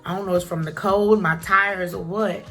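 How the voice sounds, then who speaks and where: A woman's voice: medium pitch, fairly even intonation, moderate pace, a bit bright, faint music behind. A woman talking casually to camera as a vlogger, chatty and encouraging, with a stuffy nose.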